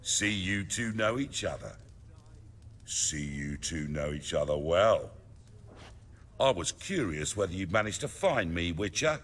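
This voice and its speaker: An actor playing a confident, scheming middle-aged underworld boss in a fantasy video game, speechifying in measured, colloquial English. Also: male voice, low-pitched, animated, a bit bright, exaggerated voice. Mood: sly, confident. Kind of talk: dramatic video game dialogue.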